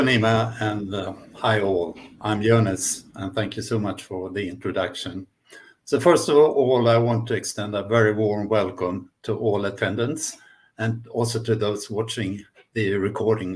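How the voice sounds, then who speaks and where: Swedish guy presenting on a webinar, lowish voice, kinda halting as he talks. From Sweden. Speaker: guest speaker, management consultant. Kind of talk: webinar presentation, semi-spontaneous.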